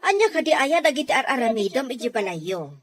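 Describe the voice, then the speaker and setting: A woman playing a caring, concerned aunt in a family drama, expressive and sympathetic in manner. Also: fairly high pitch, a little fast. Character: caring, concerned aunt who indulges her niece. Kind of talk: Ilocano family drama acting.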